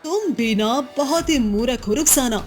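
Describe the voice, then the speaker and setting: Lady doing a jealous haughty cartoon wife, high voice, scheming, light music behind. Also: lively intonation, moderate pace, a little mellow. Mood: haughty, confident. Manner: haughty, scornful. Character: proud, scheming, jealous chieftain's first wife. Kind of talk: Hindi moral-story cartoon dialogue.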